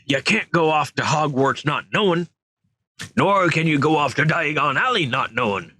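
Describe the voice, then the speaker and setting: Man doing a worried gruff giant gamekeeper, higher pitch, folksy halting speech, lively. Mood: anxious.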